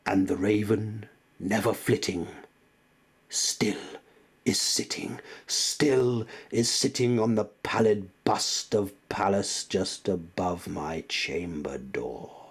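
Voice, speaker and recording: A man reading Gothic poetry as a dramatic recitation, brooding and theatrical, with deliberate pauses. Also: fairly low pitch, slightly rough. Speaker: poetry reader.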